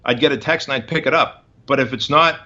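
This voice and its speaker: A man's voice: mid-range voice, fast talker, bright, forceful tone, highs cut off. A man in his forties, a guest in an interview, telling his story in emphatic, punchy short phrases. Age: 40s.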